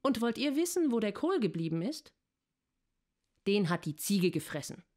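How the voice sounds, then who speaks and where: A woman's voice: mid-range voice, very animated, normal speed, a little mellow. A woman narrator reading a scripted storybook fable for children.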